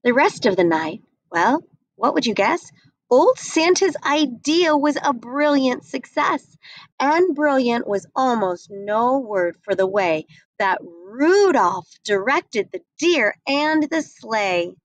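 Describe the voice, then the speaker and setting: Slow, expressive young woman reading aloud. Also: a little high, no echo, poor audio. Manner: slow, expressive rhyming delivery. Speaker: storybook reader. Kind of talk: read-aloud storytelling. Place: small indoor room. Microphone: webcam at desk.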